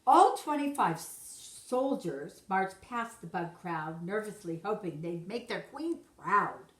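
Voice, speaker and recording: A woman's voice: medium pitch, animated, takes it slowly. A woman reading a rhyming children's story aloud expressively, like a teacher to young pupils. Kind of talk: expressive storybook narration in rhyme. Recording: roomy echo.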